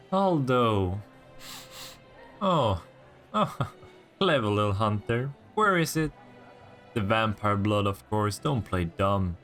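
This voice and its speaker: Man acting a sly, creaky-voiced teasing vampire. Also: medium pitch, fairly bright tone. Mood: teasing, smug. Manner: sardonic, taunting, playful. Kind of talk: roleplay audio drama, intimate one-sided dialogue.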